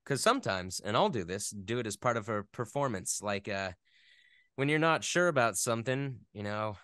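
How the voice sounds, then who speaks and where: A man in a casual interview, explaining things in a halting, conversational way as a guest expert. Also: lowish voice, very animated, a bit bright, smooth, dry recording, clean studio sound. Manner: halting, filler-heavy explaining with playful sound imitations. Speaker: interview guest, game audio editor and voice actor.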